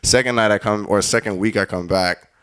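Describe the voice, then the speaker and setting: Laid-back young man with a lowish voice. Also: fairly even intonation, brisk, a bit bright, smooth. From Caribbean. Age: 20s. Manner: storytelling, laughs often, acts out quoted speech. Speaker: guest music producer. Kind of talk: casual chat.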